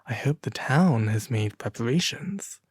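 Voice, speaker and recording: A man voicing a vain, fussy but soft-hearted male swallow in a soft, theatrical storybook reading. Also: mid-range voice, animated, measured pace, slightly rough, no room sound. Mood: pleased. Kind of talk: soft storybook character acting.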